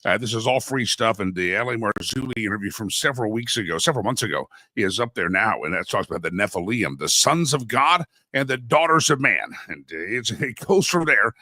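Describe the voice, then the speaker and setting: Guy hosting a talk show w a head cold, stuffy, bright edgy voice, dry close mic. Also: lowish voice, animated, fairly quick, hardly any pauses, dry recording. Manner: folksy, chatty, many restarts and asides. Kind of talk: casual political talk show monologue. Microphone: studio microphone.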